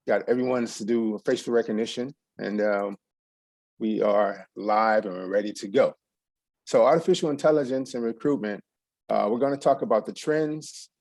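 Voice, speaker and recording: A man's voice: on the low side, darkish tone, no echo. A middle-aged man from Texas, giving a loose, rambling presentation to an audience with plenty of ums and restarts. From USA, San Antonio, Texas.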